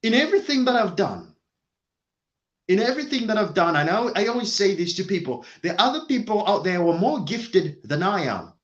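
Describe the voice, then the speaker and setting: A man's voice: sits high, sing-song, a little fast, lo-fi sound. A man giving a motivational talk, speaking emphatically and repeating his key phrases.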